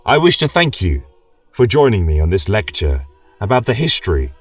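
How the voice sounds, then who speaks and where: A husky, learned chronicler, acted by a man delivers a formal lecture on his fantasy kingdom. His delivery is deliberate, proud and wistful, with wide swings of pitch. The voice sounds distant and roomy, with music behind it. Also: sing-song. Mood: grave, wistful. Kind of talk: scripted fantasy lecture narration.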